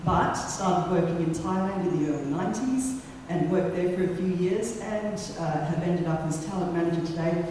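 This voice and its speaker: Middle-aged storyteller, mellow tone, echoey hall, distant mic. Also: medium pitch, a little hiss or hum. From Western Australia and Fiji. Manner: conversational, many ums, pauses, reflective. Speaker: radio talent manager giving a talk. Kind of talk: personal storytelling talk. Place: lecture room.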